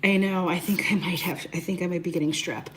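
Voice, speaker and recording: A woman in her thirties, hoarse and raspy from losing her voice, chatting casually to livestream viewers during a nail tutorial and greeting them by name. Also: fairly low pitch, fairly even intonation, fast talker, a bit bright, a little hiss or hum, low-quality recording. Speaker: beauty livestream host.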